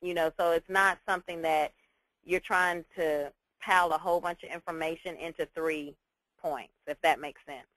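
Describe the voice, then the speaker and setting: A woman's voice: deep voice, fairly even intonation, moderate pace, a bit bright, dry recording, low-quality recording. A woman teacher explaining things informally on a class call, reassuring and repeating her key points. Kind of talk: informal spoken instruction.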